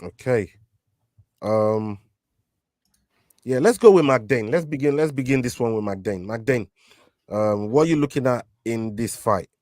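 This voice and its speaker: A man's voice: medium pitch, measured pace, long pauses, darkish tone, no echo, studio quality. A man hosting a casual fight prediction show, leading the picks with many fillers and restarts. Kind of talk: casual betting picks chat.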